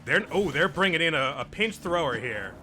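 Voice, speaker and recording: A man's voice: on the high side, very animated, normal speed, pushed and bright, faint music behind. A man giving casual commentary as he plays through a video game.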